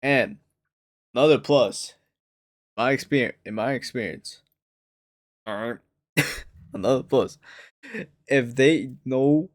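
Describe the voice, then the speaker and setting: A man streaming a casual reaction to a crime show, slangy and animated, his voice jumping up when he is outraged. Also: slow pace, pauses often, dry recording, clean studio sound. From United States. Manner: slangy and animated, breaks into outraged high rises.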